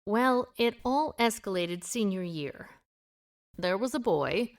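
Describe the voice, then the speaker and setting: A woman's voice: medium pitch, expressive, slow pace. Woman acting a guarded, wry amateur sleuth. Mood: reluctant, reflective. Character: guarded, independent small-town woman amateur sleuth with a past. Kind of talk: audio drama dialogue acting.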